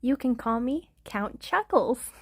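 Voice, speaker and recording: A woman's voice: on the high side, lively intonation, slow pace, darkish tone, dry recording, studio quality, exaggerated voice. A woman voicing a clumsy, goofy male vampire count in a comedic ASMR roleplay, giggly and theatrical. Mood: playful. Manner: giggly, theatrical, breaks into laughter.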